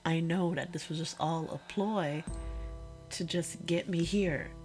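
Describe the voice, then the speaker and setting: A woman playing a hurt, exasperated girlfriend confronting her boyfriend in an intimate one-sided audio roleplay, speaking emphatically and haltingly and repeating herself. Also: fairly low pitch, darkish tone, faint music behind, close to an everyday voice. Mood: weary, guarded.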